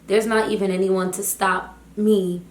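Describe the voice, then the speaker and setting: Young woman vlogger, slightly hoarse, chatty storyteller, mid pitch, echoey room. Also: measured pace. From United States, Haitian family. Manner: chatty, animated, lots of fillers. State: slightly hoarse, losing her voice. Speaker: vlogger, teacher. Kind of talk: casual storytime vlog.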